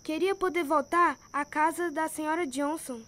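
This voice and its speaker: A woman's voice: sits high, fairly even intonation, normal speed, a bit bright. A woman with a Brazilian accent acting a small, hungry, plaintive orphan boy in a radio drama.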